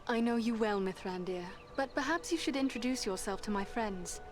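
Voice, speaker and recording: Actress as a poised, higher-voiced elf woman. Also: moderate pace, a little hiss or hum, lightly acted. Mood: composed, curious. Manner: formal, poised. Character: composed, noble female elf warrior-mage, resolute. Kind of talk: dramatic fantasy game acting.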